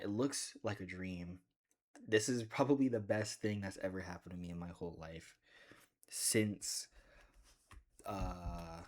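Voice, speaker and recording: A man with a deep voice chatting casually in a gaming vlog, rambling and joking with bursts of excitement. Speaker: gaming video creator.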